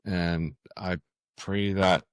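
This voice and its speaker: An older man with a low voice answers as a guest author in an interview. He speaks earnestly but haltingly, doubling back and repeating words. The sound is dry, with little room on it. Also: little pitch movement, clear, resonant tone, no echo.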